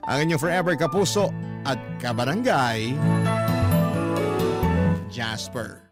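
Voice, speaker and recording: A man's voice: mid-range voice, animated, slow pace, over loud music. A man with a Filipino accent narrating a heartfelt letter dramatically as a radio storyteller.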